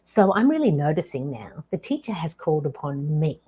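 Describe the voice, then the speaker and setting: Woman in her 50s, lowish breathy voice, drawn-out storytelling w/ long pauses. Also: big pitch swings, warm tone. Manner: slow, deliberate delivery with dramatic pauses. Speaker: podcast host. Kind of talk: personal storytelling monologue.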